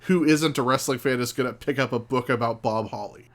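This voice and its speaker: A man's voice: medium pitch, fairly quick. Man, podcast guest, mid-range voice, casual chat, informal, sweary, conversational quoting. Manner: informal, profane, acts out quotes conversationally.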